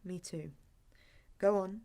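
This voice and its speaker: An actress playing a guarded, patient woman in an audio drama, handling a clingy date in a measured, firm but gentle way. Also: mid-range voice, fairly even intonation. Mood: guarded, conciliatory. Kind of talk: naturalistic audio drama acting.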